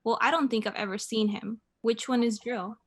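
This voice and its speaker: A young woman playing a patient, indulgent mother in a radio play, gently chiding and mildly amused. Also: on the high side, fairly even intonation, normal speed, natural voice.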